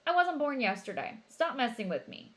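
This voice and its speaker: A woman's voice: high-pitched, big pitch swings, normal speed, fairly bright tone, voice pushed up. Woman voicing streetwise teen brother, storybook character, blunt, assertive, bold haggler. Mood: confrontational.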